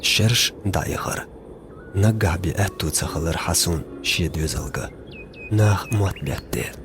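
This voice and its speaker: A man's voice: low voice, slow, music in the background. A man, a native Chechen speaker, narrating a film from a script.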